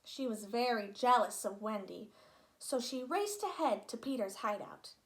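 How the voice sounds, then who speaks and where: High, lively woman reading a storybook. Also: unhurried, poor audio. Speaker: storybook reader. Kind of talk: expressive read-aloud children's narration.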